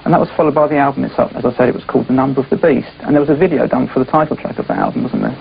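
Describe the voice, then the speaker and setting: Brisk gravelly TV interviewer. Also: male voice, medium pitch, big pitch swings. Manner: brisk, reads history then prompts. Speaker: TV music show interviewer. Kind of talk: scripted interview questions. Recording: dull old TV tape, faint hiss.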